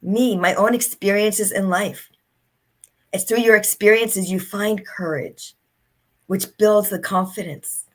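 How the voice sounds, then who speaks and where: A woman's voice: medium pitch, a little slow. A middle-aged woman, an author and speaker, telling her story as an interview guest in an earnest, reflective way.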